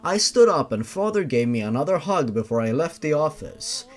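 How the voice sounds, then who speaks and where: Expressive man narrating, higher-pitched voice. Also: moderate pace. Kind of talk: scripted first-person story narration.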